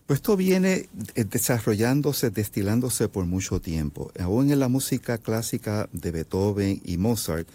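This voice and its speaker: A Puerto Rican man with a very low voice explains his music as an interview guest. He talks in long, halting stretches, full of fillers and restarts. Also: big pitch swings, mellow, no echo. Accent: Puerto Rican Spanish. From Puerto Rico. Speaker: composer guest. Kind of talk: interview answers.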